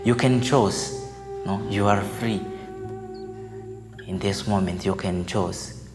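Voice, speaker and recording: A man speaking slowly and deliberately, addressing the listener directly as he tells how he found his vocation. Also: on the low side, steady tone. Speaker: seminarian. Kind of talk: personal vocation testimony. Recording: reverberant, with guitar music behind.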